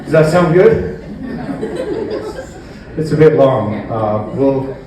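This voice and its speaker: A man doing a stand-up comedy routine on stage, with a halting, rambling delivery. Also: medium pitch, lively intonation, crowd noise. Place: indoor comedy venue stage. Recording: echoey room, distant mic, faint noise.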